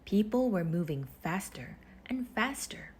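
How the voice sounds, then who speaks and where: A woman reading a children's storybook aloud in measured phrases with deliberate pauses, her husky voice turning breathy as phrases end. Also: mid-range voice, sing-song, slight background noise. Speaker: storybook reader. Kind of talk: children's read-aloud narration.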